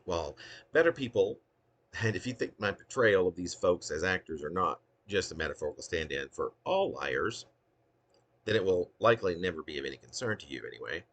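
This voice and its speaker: A man's voice: deep voice, expressive, a little fast, fairly bright tone. A man giving a dramatic, wry reading of a humorous autobiographical poem, with theatrical emphasis. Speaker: poet reading own work.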